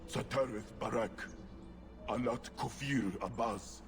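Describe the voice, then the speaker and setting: An actor playing a solemn, stoic giant warrior in a video game, with a stern, deliberate delivery. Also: male voice, mid-range voice, faint music behind, natural voice. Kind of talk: video game cutscene acting.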